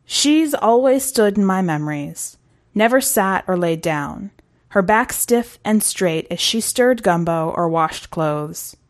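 A woman's voice: medium pitch, lively intonation, slow pace. A woman reading a first-person short story aloud in a conversational, wry way. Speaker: story narrator.

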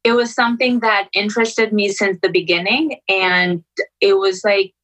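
A woman's voice: mid-range voice, fairly even intonation, normal speed, a bit bright, rough recording. A young woman from Albania chatting casually as a podcast guest, telling her own story in a conversational way. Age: young adult.

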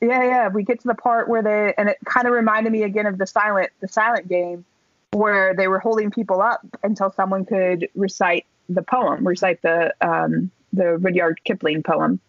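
A woman in her thirties tells a story in a loose, chatty way, full of fillers and the odd swear. Her voice sits at a middling pitch. The recording is muffled and dry, with no room sound. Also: moderate pace, dry recording. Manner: animated, chatty, many fillers and swear words. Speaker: podcast guest. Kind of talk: casual storytelling. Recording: dull dry podcast recording.

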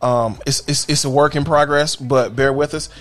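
A man's voice: a little high, steady tone, normal speed. A man hosting a podcast, talking in a brash, profane way, laughing often and repeating "you know what I'm saying.". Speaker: podcast host. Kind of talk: casual crude podcast banter.

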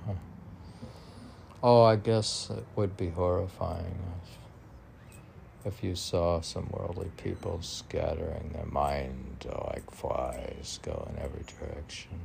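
A man's voice: sits low, creaky, mellow, slight background noise. A man reading his own poetry informally, musing aloud and chatting to himself between lines in an unpolished way. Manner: musing, talks to himself, asks and answers own questions. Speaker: poet reading own work.

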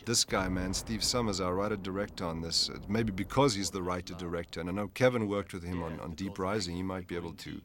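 A man's voice: deep voice, quick pace, hardly any pauses, fairly bright tone, slight background noise. A man, an actor in the film, chatting casually and jokingly while commenting on it. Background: film soundtrack music.

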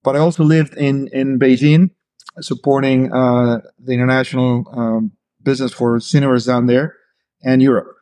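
A man's voice: medium pitch, steady tone, moderate pace, a little mellow. Man, hesitant interview guest. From Argentina. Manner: hesitant, many fillers and restarts. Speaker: podcast guest. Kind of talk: casual interview talk.

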